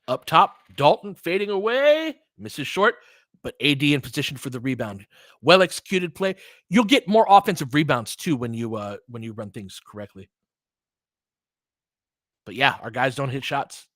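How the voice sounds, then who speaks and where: A man's voice: medium pitch, lively intonation, moderate pace, fairly bright tone, no echo. Man doing dry, close-miked basketball commentary. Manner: conversational, explanatory, long-winded. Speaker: basketball play-by-play host and analyst. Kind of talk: live sports commentary mixed with casual analysis.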